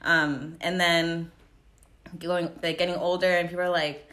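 A woman's voice: on the low side, flat delivery, measured pace, a bit bright. A young American woman answering interview questions about herself in a casual, reflective way, peppering her talk with "like". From United States, Los Angeles. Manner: reflective, informal, frequent "like".

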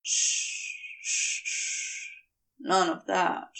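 A woman reads a male lover in an audiobook story, commanding yet tender. He speaks slowly, with amusement and a soft note of warning, a little back from the microphone. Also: huge pitch swings, far from the mic, full character voice, rough recording. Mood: soothing. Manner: low-key amused, gently warning. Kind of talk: audiobook character dialogue.

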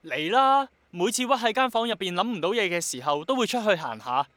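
An idealistic young Hongkonger in a radio play. His fairly high voice carries a Hong Kong Cantonese accent and a passionate, persuasive earnestness. The sound is very dry, like a studio. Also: lively intonation, a little fast, sharp tone, dry recording. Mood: eager, flustered. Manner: passionate, persuasive, romantic-minded. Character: earnest dreamy young man obsessed with bringing back rain. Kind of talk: Cantonese radio drama acting.

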